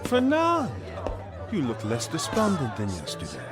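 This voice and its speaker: An actor playing a smooth-talking, scheming man who feigns friendly concern in an audio drama. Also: on the high side, huge pitch swings, a little slow, over music, a crowd in the background. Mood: mock kindly.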